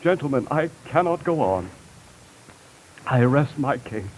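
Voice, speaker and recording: An actor playing a bombastic defense lawyer with florid, theatrical pleading, in 1930s radio comedy style on an old recording. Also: male voice, medium pitch, animated, measured pace, slight background noise, close to an everyday voice, rough recording. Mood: mock tragic. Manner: florid, theatrical coaxing and oratory.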